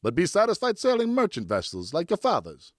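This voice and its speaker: A man's voice: high voice, wildly swooping pitch, brisk, no room sound, studio quality, pitched above the performer's own voice, full character voice. Man playing a kindly, firm blacksmith. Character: big, kindly but firm estate blacksmith.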